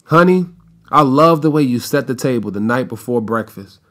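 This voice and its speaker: A man's voice: mid-range voice, expressive, normal speed, fairly bright tone, lightly acted. An American man playing a genial, folksy father of a young family in a radio play. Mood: warm, reassuring. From United States.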